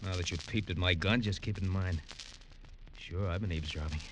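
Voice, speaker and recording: A man's voice: sits low, a little fast, a little hiss or hum, close to an everyday voice. An actor playing a sly but easily rattled gunman in a vintage radio crime drama. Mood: sly, threatening. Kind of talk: 1940s-50s radio crime acting.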